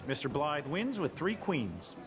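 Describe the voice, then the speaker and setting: An actor playing a courteous, formal card dealer in a video game. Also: male voice, a little high, wildly swooping pitch, measured pace, noisy background. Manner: formal, genteel announcing. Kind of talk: cinematic video game acting. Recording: music behind the voice.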